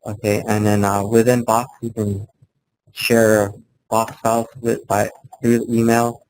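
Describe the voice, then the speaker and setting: A fairly low-pitched man gives an informal workplace software training talk. He explains things plainly and haltingly, checking in as he goes. There is clear background noise in the room. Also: level tone, low-quality recording. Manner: halting, plain-spoken explaining, often checking in with "okay" and "right". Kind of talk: informal instructional presentation. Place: indoor room, live talk to colleagues.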